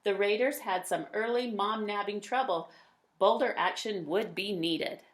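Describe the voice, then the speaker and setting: A woman reading a children's picture book aloud, with dramatic emphasis and drawn-out words. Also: medium pitch. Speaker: read-aloud storyteller.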